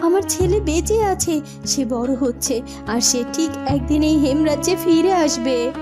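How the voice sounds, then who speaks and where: A woman's voice: high voice, animated, measured pace, music in the background. A woman playing a resolute, impassioned princess and grieving mother in a cartoon. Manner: firm, impassioned, exhorting.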